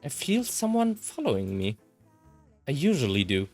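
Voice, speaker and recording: German man reading expressively, animated pitch. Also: moderate pace, faint music behind. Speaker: let's player reading a visual novel aloud. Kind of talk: expressive read-aloud of first-person game text.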